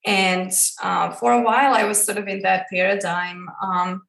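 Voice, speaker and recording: A woman from Croatia, a guest in an interview, talking about her life in a searching way, with many pauses and restarts. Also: medium pitch, level tone, vocal fry, a bit bright, roomy sound, far from the mic, poor audio. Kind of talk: reflective personal interview.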